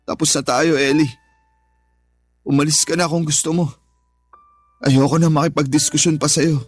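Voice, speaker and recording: A man's voice: on the high side, steady tone, moderate pace, dry recording, faint music behind, lightly acted. A man acting a wounded, devoted young boyfriend in a Filipino radio drama, with a pained, earnest delivery. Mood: resigned, firm. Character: wounded, devoted young working-class boyfriend, pleading and proud.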